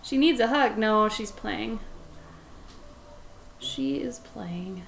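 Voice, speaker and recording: A young woman with a somewhat raspy voice, chatting casually while she draws on a livestream and talks to her dog and to viewers. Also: a little high, slow, slight background noise, rough recording. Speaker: livestreaming pet portrait artist. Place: home art studio with plastic bins.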